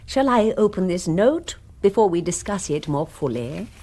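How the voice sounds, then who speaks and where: A woman's voice: on the low side, big pitch swings, moderate pace, dark tone. A woman playing a self-important, middle-aged society queen in a radio comedy, with an upper-class English accent and a pretentious, condescending manner. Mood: pretentious, disdainful. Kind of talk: radio comedy drama acting.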